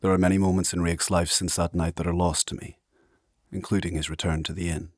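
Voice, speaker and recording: A man playing a grave narrator in a dark fantasy audio drama, telling the tale in a husky voice. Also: sits low, flat delivery, a little fast, dry recording, studio quality. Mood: solemn.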